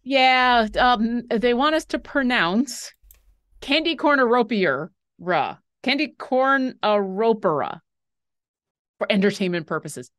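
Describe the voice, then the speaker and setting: A woman's voice: fairly high pitch, expressive, takes it slowly, fairly bright tone, no echo. Playful young woman on a dry livestream mic. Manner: playful, jokey, reads viewer questions aloud. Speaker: co-host learning to paint miniatures. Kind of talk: casual livestream chat. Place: indoor home room.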